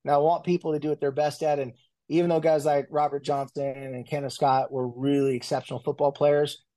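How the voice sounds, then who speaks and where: Chatty man with a higher voice. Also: flat delivery, a little fast, mellow. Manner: loose and chatty, many "like"s and restarts. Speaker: guest, family and marriage therapist. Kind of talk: casual interview conversation.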